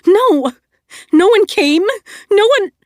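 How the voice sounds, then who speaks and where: A young woman torn between rage and grief, as a woman reads her, her voice cracking high and loud. She shrieks and sobs out her accusations. The sound is close and dry. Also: moderate pace, no echo, lightly acted. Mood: shrieking. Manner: shrieking through tears. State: crying. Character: young woman, anguished and furious.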